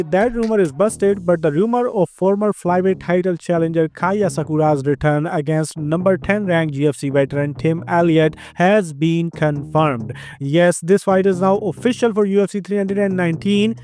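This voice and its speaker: Young male news host, Indian accent, high-pitched, brisk scripted read over faint music. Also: a little mellow.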